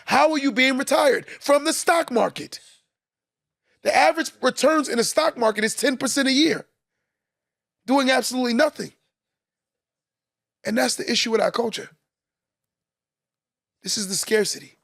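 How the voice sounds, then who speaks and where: A man's voice: extremely high pitch, moderate pace, gravelly, long pauses, a bit bright, no echo, studio quality. A man hosting a live podcast, preaching to listeners and repeating key lines for emphasis. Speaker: podcast host. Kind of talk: motivational live-stream talk.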